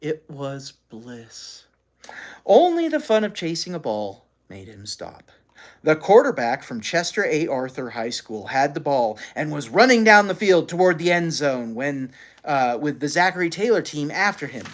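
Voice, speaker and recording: A storyteller reading a children's book aloud in an animated, expressive way, acting out the characters. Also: medium pitch, measured pace. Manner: animated, acts out characters' lines.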